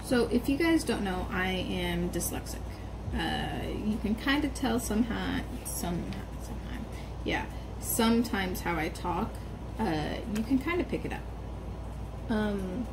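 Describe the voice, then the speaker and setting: A young woman chats in a loose vlog, rambling and restarting, with um after um. Her R sounds come out hard and strongly stressed. A steady noise runs clearly behind her voice. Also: medium pitch. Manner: rambling, hesitant with many ums and restarts. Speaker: vlogger. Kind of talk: casual personal vlog. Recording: background noise.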